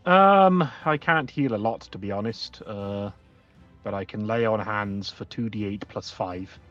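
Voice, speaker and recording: A man's voice: lowish voice, unhurried, a bit bright, soft background music. Man gaming on a dull, lo-fi mic. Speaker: player in a tabletop roleplaying game. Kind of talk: casual, hesitant game talk. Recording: dull, lo-fi voice channel.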